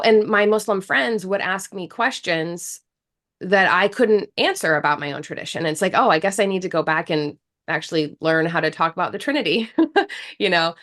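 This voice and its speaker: A woman's voice: sits low, fairly bright tone. A woman in her thirties from the United States, a guest speaking earnestly and reflectively in an interview, with frequent restarts. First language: English. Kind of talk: casual interview talk.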